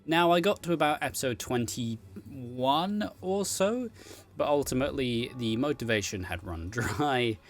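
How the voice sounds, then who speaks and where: A man with an average-pitched voice rambles casually as a solo host. He swears freely and pokes fun at himself in a giggly, joking manner. Music plays behind his voice. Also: lively intonation, a little slow. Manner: sweary, self-deprecating, giggly. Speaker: host, streamer and content creator. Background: background music.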